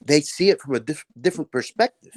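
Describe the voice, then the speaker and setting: A man from Cuba speaking earnestly and in a measured way in a casual group voice chat. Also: on the high side, animated, darkish tone, no echo.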